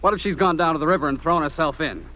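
A man's voice: fairly high pitch, fast, pushed and bright. An American man acting in an old-time radio drama as a blunt, hot-tempered fellow with punchy tough talk and a contrite streak. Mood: angry sarcastic. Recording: noisy old radio recording.

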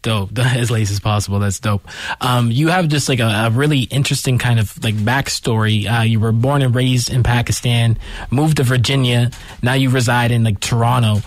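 A young male host with an easygoing, casual manner who keeps the talk moving with short affirmations. His voice sits in a middle pitch. The sound is dry and close. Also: fairly even intonation, no room sound. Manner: easygoing, quick to affirm with "dope" and "mm-hmm". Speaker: interview host. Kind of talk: casual interview questions.

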